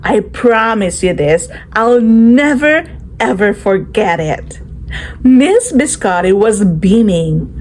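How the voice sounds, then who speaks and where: Casting: female children's storyteller, slow and deliberate, lively swooping intonation, encouraging teacher manner, some background noise. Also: mid-range voice. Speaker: children's read-aloud storyteller. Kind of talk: storybook read-aloud with asides to children.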